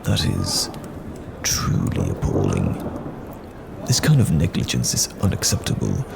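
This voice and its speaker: A man playing a courteous, earnest wandering knight in fantasy roleplay audio, speaking in a measured, formal, old-fashioned way with a gravelly voice. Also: wildly swooping pitch, heavy background noise, exaggerated voice. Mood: concerned, indignant. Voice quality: gravelly, very deep. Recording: music behind the voice.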